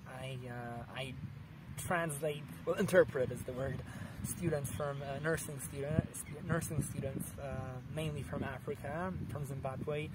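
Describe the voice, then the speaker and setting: A man in his thirties from Poland with a Polish accent, answering interview questions in a park with lively gestures and many restarts. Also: mid-range voice, a little slow, heavy background noise. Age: 30s. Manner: animated, gesturing, frequent restarts. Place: outdoors in a sunny park.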